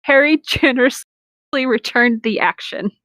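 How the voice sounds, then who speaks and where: A woman's voice: a little high, normal speed, a bit bright, dry recording. A woman co-hosting a comedic reading, narrating a story aloud with casual, sardonic asides. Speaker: co-host of a comedic fan-fiction reading.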